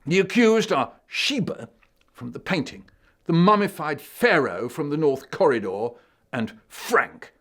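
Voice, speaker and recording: Brief: a man playing a grandiloquent dog prosecutor, high and loud, storybook. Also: big pitch swings, measured pace, full character voice. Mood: pompous. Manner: grandiloquent, orating. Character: pompous male dog acting as prosecutor.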